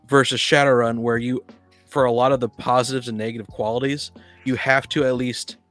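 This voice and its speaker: A man with a middle-pitched voice argues his view in a relaxed podcast debate. He stops and rewords as he goes, building the case from experience. Faint music runs behind him. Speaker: podcast panelist, experienced tabletop player. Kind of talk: casual opinionated discussion. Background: background music.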